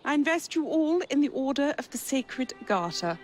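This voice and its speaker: An elderly English queen in a game cutscene. The voice is high and very animated. She speaks formally, with imperious command and a playful streak. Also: normal speed, soft background music. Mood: regal, formal. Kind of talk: video game cutscene acting.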